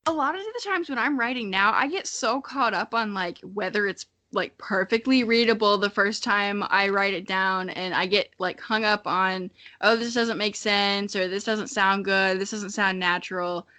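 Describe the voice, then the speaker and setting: A young woman with a bright, forward voice co-hosts a podcast. She talks in a casual, chatty way and is self-deprecating and giggly, with a loose tongue for swearing. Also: medium pitch, normal speed, few pauses. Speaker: podcast co-host. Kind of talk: casual chat.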